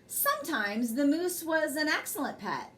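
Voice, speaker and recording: A woman reading a children's picture book aloud as a bedtime story, in an expressive, measured way. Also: high-pitched, noisy background.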